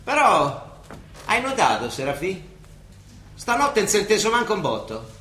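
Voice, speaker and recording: An actor playing a weary, ironic papal judge in a radio play, with a Roman accent, declaiming and dropping into wry colloquial asides. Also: male voice, high voice, huge pitch swings, normal speed, bright, forceful tone, reverberant, slight background noise, full character voice. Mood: wry, irritated. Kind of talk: theatrical radio drama dialogue.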